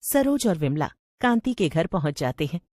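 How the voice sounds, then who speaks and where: A woman's voice: on the low side, big pitch swings, moderate pace, a little mellow, no echo, studio quality. A woman storyteller reading a scripted tale as narrator.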